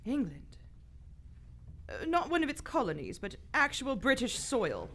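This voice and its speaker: Woman playing a witty, haughty lady adventurer, lively and swooping. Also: fairly high pitch, measured pace, slight background noise, exaggerated voice. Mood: intrigued, wary. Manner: sardonic, haughty, theatrical. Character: witty, defiant aristocratic adventuress in her thirties, fond of drink. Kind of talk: live radio theater acting.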